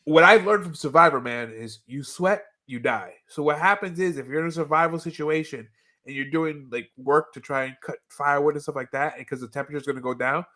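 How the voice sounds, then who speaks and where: A man with a fairly high-pitched voice talks casually as a podcast co-host. He explains things in a conversational, storytelling way, as in a relaxed rewatch discussion. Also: brisk. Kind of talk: casual animated-series rewatch chat with a co-host.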